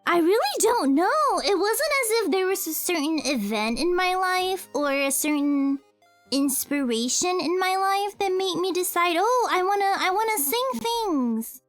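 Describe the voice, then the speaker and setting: A woman's voice: extremely high pitch, expressive, unhurried, soft background music. A young woman streamer and cover singer chatting casually in a livestream Q&A, rambling, correcting herself and giggling often.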